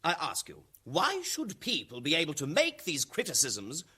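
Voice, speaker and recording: A husky, swooping stern colonel. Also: male voice, fairly high pitch, wildly swooping pitch, normal speed, pushed and bright, heavily acted. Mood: indignant. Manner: pompous, emphatic. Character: stern, menacing male colonel, regime's security chief. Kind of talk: satirical radio drama acting.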